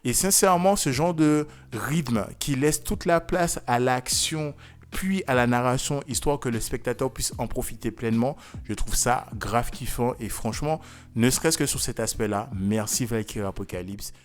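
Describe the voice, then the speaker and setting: A man's voice: medium pitch, moderate pace. A man giving casual, conversational video commentary as a review host, opinionated and slangy in his wording.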